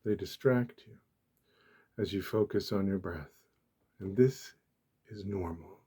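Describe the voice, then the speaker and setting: A soft-spoken man with a low, mellow voice guides a meditation in ASMR style. His delivery is very slow, gentle and deliberate, with long pauses. The voice is hushed and breathy.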